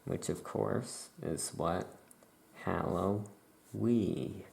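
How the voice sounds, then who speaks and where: A soft-spoken ASMR host playing a witch shopkeeper, casually improvising and thinking aloud in an unhurried, rambling way. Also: sits low, gravelly, a little hiss or hum.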